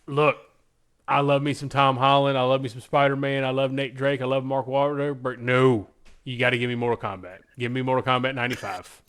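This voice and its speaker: A man's voice: mid-range voice, little pitch movement, brisk, fairly bright tone, smooth. A man co-hosting a podcast, chatting casually in an informal, slangy, emphatic way. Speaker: podcast co-host. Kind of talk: casual chat, picking movies in a bracket game.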